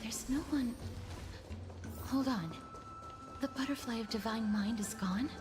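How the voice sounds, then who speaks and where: A woman playing a gentle, earnest young woman in video game dialogue, cautious and measured as she explains. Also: fairly high pitch, natural voice. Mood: thoughtful, concerned. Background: game music.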